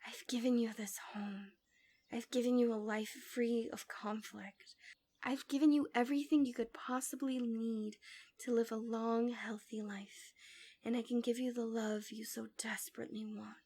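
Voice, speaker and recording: A woman playing a manipulative villainess in an ASMR roleplay, tenderly soothing her captive in a gentle, coaxing, breathy voice that slips into whispers. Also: a little high, little pitch movement, normal speed. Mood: seductive, possessive devotion. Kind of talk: ASMR roleplay, intimate soft speech slipping into whispers.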